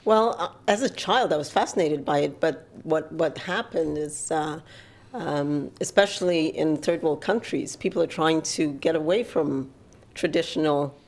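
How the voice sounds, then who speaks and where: Low-voiced, hesitant middle-aged woman. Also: moderate pace. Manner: soft-spoken, hesitant, many fillers and restarts. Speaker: interview guest. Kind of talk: casual interview conversation.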